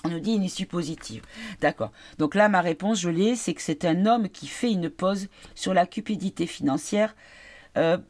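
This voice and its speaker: A woman giving a casual card reading, tired and congested from a cold, halting as she searches for her words. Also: fairly low pitch, darkish tone. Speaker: card reader.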